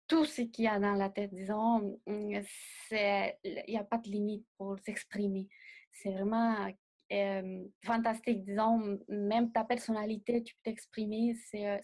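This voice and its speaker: A young woman, not a native speaker of the language, answering interview questions as a designer in a measured way and often pausing to find words. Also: mid-range voice, darkish tone. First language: not French. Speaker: designer guest.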